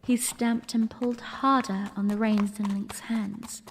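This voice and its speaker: A woman playing a young princess who narrates her memories in an audio drama, ladylike, playful and fond, with a measured manner. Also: mid-range voice, fairly even intonation, big-room sound, slight background noise, lightly acted. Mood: warm, composed. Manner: measured, ladylike, lightly amused.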